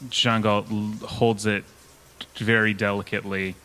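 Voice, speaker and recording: A man's voice: lowish voice, little pitch movement, unhurried, sharp tone, low-quality recording. A man taking part in a tabletop game as a player, improvising narration in a casual way.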